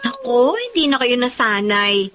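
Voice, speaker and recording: An actress playing a soft-hearted, pleading young Filipino daughter in a drama. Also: female voice, on the high side, sing-song, a little slow, background noise. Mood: pleading, defensive. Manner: whiny, pleading, protesting.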